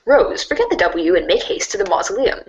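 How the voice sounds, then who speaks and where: A woman narrating a second-person storybook in an expressive, wry way. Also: medium pitch, a little fast, muffled recording.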